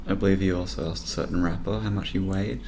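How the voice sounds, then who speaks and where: A man's voice: deep voice, fairly even intonation, quick pace, vocal fry, background noise. A man hosting a podcast interview, asking questions and murmuring brief acknowledgements, with the odd dry joke.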